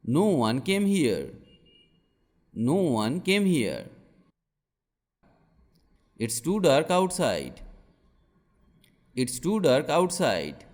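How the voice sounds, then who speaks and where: Slow, careful male English teacher. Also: medium pitch, in a large hall. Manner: slow, careful and clearly enunciated. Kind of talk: reading practice sentences aloud, each twice.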